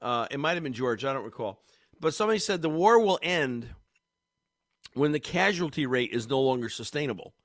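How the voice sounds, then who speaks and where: A man's voice: medium pitch, expressive, fairly quick, fairly bright tone. Congested man hosting talk radio. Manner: rambling, many fillers and restarts, chuckles. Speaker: radio talk show host. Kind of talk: casual talk radio commentary.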